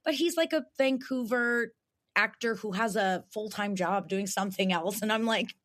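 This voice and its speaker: A young woman with a raspy voice chatting casually as a podcast guest, laughing often and restarting her sentences. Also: mid-range voice, normal speed, a bit bright. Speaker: guest voice actor.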